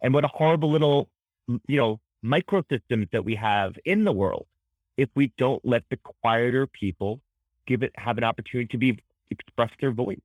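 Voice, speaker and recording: High-energy man explaining ideas emphatically. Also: brisk, a little mellow, no echo, low-quality recording. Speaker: podcast guest, author and psychology educator. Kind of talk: conversational explanation in an interview.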